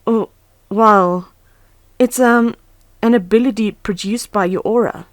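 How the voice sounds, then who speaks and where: A woman's voice: medium pitch, a little slow, no echo, natural voice. A woman playing an earnest, anxious but composed young huntress in a fan audio drama. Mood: nervous, curious. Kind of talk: fan audio drama, first-person narration and dialogue. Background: background music.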